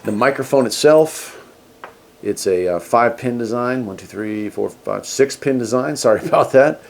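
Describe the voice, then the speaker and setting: A man's voice: medium pitch, animated, moderate pace, creaky, slight background noise. A man hosting a casual hands-on product review, talking in a laid-back, folksy way. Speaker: host of a radio gear review channel.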